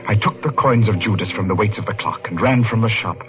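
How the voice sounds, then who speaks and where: A man's voice: on the low side, fast, natural voice. An actor playing a haunted man recounting his boyhood in dread, in the ornate, suspenseful style of old-time radio horror. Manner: dramatic, ornate, suspenseful delivery. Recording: muffled vintage radio, music underneath. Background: dramatic music.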